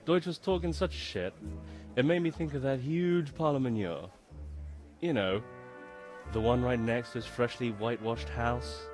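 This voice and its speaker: A man's voice: medium pitch, steady tone, a little slow, a little mellow, soft background music, close to an everyday voice. An actor playing a cocky young village troublemaker who leads his mates, in a video game cutscene. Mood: scheming.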